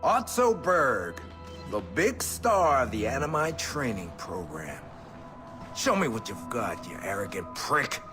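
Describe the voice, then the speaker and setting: A man's voice: mid-range voice, sing-song, measured pace, pushed and bright, soft background music. An actor playing a bitter, haunted man who taunts erratically and swears in a video game cutscene. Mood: contemptuous taunting. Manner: mocking, profane, erratic, emphatic.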